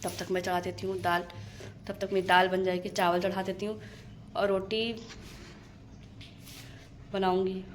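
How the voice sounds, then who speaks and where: A woman with a sore, hoarse throat talking to her phone camera in a home kitchen, chatty and hurried as she cooks. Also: mid-range voice, level tone. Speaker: homemaker vlogger. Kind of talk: casual talk to camera while cooking. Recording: home phone video with clear background noise.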